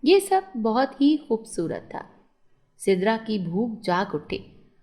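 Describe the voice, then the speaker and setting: A woman's voice: a little high, very animated, takes it slowly, a little mellow. A woman narrating a scripted moral story for children as a storyteller.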